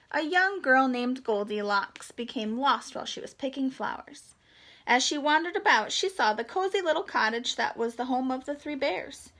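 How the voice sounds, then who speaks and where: Bright, high-voiced woman reading to children. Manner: expressive, simple explanations addressed to children. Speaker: children's storytime reader. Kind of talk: storytelling read-aloud for young children.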